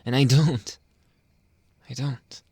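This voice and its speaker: A man playing a jealous, controlling young boyfriend in an intimate ASMR roleplay, halting and pleading, often dropping toward a whisper. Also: medium pitch, fairly even intonation, a little mellow, slightly rough, no echo. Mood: clingy, yearning. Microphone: close, dry microphone.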